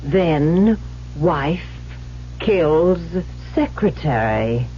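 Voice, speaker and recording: An actress playing a scheming, murderous wife in an old radio drama. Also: female voice, low voice, very animated, very slow, warm tone, slight background noise, full character voice. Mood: cold, gloating. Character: cold, scheming murderous wife who crumbles into frightened pleading. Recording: dull, lo-fi old radio broadcast.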